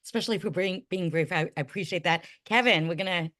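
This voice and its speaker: A woman moderating a conference panel, introducing speakers and asking questions in a quick, upbeat way with fillers. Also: on the low side, fairly bright tone. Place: conference stage.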